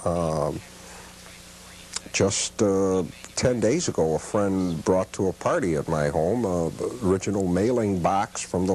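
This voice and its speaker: A man in his fifties with a deep, low voice speaks as a collector being interviewed. He answers in a measured way, stopping often on fillers while he thinks. Faint noise sits in the background. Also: darkish tone, poor audio. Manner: measured, thoughtful, with many filler pauses. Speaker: collector guest.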